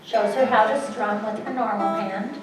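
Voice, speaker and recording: A woman's voice: mid-range voice, fairly even intonation, moderate pace. Woman reading stage directions, echoey hall. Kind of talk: scripted narration. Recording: echoey hall, distant mic, music behind.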